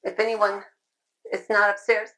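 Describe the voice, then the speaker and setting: A middle-aged woman speaking at a convention, chatty and loud-voiced, laughing often as she answers questions. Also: mid-range voice, flat delivery, a little slow. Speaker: convention speaker on sidewalk counseling. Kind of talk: informal talk with audience questions. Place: convention room.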